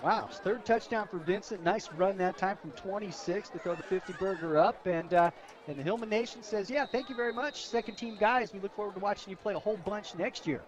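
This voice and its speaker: An American man provides color commentary on a radio football broadcast. His voice is a little higher than average for a man, and he talks in chatty, informal asides. The sound is of an outdoor stadium, with the crowd clearly heard behind him. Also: brisk, muffled recording. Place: outdoors at a high school football game.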